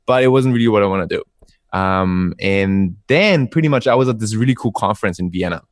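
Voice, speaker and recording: A young man with an Austrian accent speaks low and dry over a call. He tells his story in an upbeat, chatty way, with filler sounds and light laughs. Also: animated, a little fast, no room sound. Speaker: guest entrepreneur. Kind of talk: casual interview over a call. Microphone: remote call.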